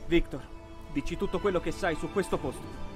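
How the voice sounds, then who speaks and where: An earnest, determined anime captain , acted by a man. He sounds serious and resolute in a lightish high male pitch, over background music. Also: fairly quick, close to an everyday voice. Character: earnest, determined teenage boy team captain. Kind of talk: anime fan dub acting.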